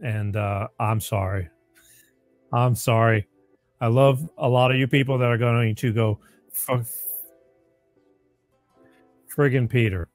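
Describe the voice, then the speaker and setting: A somewhat raspy man hosting an informal political talk show, plain-spoken and repeating phrases for emphasis. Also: medium pitch, steady tone, slow pace, long pauses, no room sound, soft background music. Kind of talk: informal live opinion monologue to listeners.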